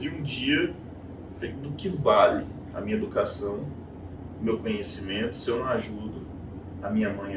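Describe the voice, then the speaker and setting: A Brazilian man in his thirties with a Brazilian Portuguese accent, a guest chatting casually in an interview and telling his story with animated, acted-out quotes. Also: on the low side. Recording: echoey room, distant mic, noisy.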